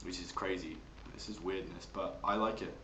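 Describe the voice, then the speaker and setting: Bright-voiced Englishman in an echoey room. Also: deep voice, fairly even intonation, moderate pace. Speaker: amateur voice actor. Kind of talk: casual vlog demonstrating accents. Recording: echoey room, faint background noise.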